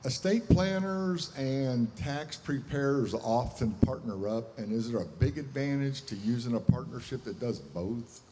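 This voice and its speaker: A man's voice: medium pitch, lively intonation, unhurried, few pauses, dark tone. A man in an audience putting a question to a speaker. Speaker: audience member asking a question. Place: large hall, in the audience. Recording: echoey hall, noisy background.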